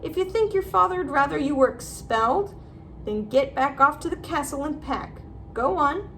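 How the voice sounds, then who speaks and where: A woman voicing a gruff, fierce but kindly male gamekeeper in a storybook. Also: high-pitched, lively intonation, moderate pace, fairly bright tone, distant mic, slight background noise, voice pushed up. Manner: growling, blunt.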